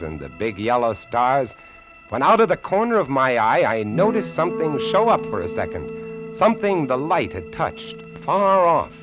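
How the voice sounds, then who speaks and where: Male lighthouse keeper, theatrical vintage radio horror narration, widely swinging pitch, music underneath. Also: rough recording. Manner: suspenseful, deliberate, theatrical storytelling. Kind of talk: 1950s radio horror acting, dramatic narration.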